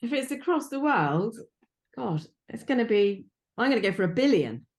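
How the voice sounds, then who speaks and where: A woman guest with a slightly croaky voice, chatting casually and confidently on a podcast. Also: a little high, sing-song, moderate pace, darkish tone. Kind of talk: casual chat.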